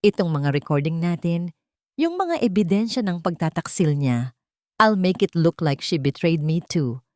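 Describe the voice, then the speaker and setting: A woman's voice: fairly low pitch, sing-song, moderate pace, darkish tone, no echo, clean studio sound. A woman voicing a scheming, ambitious businessman who speaks coldly and with scorn in a storybook reading. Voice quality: mixes English into lines.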